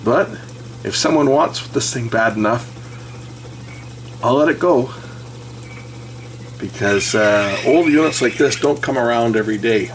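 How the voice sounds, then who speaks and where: A middle-aged man giving a casual, unscripted hands-on repair commentary, thinking aloud with pauses as he works. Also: mid-range voice, slight background noise, low-quality recording. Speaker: electronics repair hobbyist.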